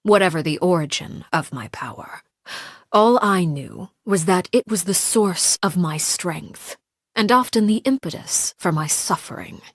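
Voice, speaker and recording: An actress playing a composed, menacing woman who leads a crime house as its stern guardian in a video game, speaking in formal, measured, coolly detached phrases with breathy, hushed endings. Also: on the low side, expressive, dry recording, studio quality. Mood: reflective, grave. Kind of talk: video game character monologue.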